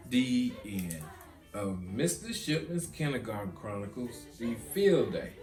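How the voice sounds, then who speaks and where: Animated, unhurried man reading a storybook. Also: medium pitch. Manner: animated, dramatic pauses. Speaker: author reading own children's book. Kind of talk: expressive storybook narration. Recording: roomy echo, guitar music behind.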